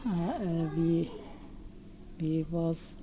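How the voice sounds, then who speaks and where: A middle-aged woman with a Turkish accent, a guest in a radio interview, speaking haltingly in English with many pauses and fillers. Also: sits low, dark tone, a little hiss or hum.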